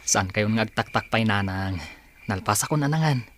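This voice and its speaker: A man's voice: on the low side, moderate pace, a bit bright, slight background noise, natural voice. A man playing a dutiful, hardworking son in an Ilocano audio drama. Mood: respectful, reassuring. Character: dutiful, respectful hardworking adult son and masseur.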